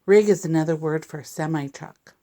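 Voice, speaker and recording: A woman reading a picture book aloud to young children in an expressive, deliberate, teacherly way. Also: sits low. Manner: deliberate, animated reading with sound effects and asides to listeners.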